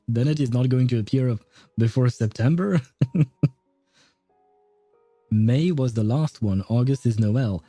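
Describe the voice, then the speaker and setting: A man streams a game in a very soft, dark, mellow voice. He chats casually and hesitantly with his viewers, chuckling in asides, with faint music behind him. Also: medium pitch, slow, pauses often, no room sound. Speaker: livestream gamer reading chat and game dialogue aloud. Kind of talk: casual livestream chat.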